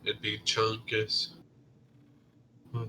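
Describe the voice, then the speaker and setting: A man's voice: on the low side, monotone, drawn-out words, pushed and bright, a little hiss or hum. A man who sounds congested from being sick, chatting casually while gaming, with short, laid-back replies.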